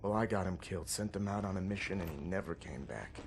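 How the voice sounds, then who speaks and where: An actor playing a guilt-ridden young American resistance soldier in a video game, earnest and bitter, with folksy mild oaths and halting delivery. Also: male voice, sits low, slight background noise. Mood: anguished, guilty. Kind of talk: dramatic video game acting.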